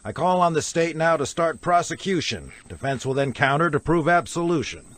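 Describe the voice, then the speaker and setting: A man's voice: a little high, animated, normal speed, fairly bright tone, no room sound. A man playing a grumbling elderly dog judge who blusters through his rulings in a comic rhymed radio play. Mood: commanding. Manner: blustering, pronouncing rules in rhyme.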